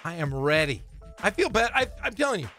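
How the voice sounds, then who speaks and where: A man's voice: high voice, sing-song, brisk, sharp tone, faint music behind. A middle-aged American man co-hosting a sports radio show, bantering casually in a boastful, teasing, exaggerating way. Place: radio studio.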